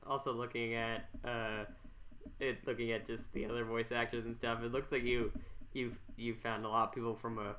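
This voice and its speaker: A man with a middling pitch hosts a casual podcast interview. He speaks informally, often restarting and repeating words before getting to the point. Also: level tone, normal speed, fairly bright tone. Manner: stumbling, repeats his words. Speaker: podcast host.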